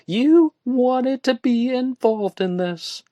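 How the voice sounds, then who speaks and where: A shrill, tearful young woman. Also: a little slow, warm tone, no echo, clean studio sound. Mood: angry. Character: distraught young woman, volatile and pleading.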